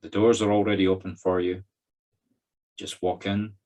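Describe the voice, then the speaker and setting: Deep-voiced Scottish man guiding a meditation. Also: level tone, a little mellow. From Scotland. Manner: slow, pausing instructions in short phrases. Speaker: spiritual medium leading a group circle. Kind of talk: guided meditation.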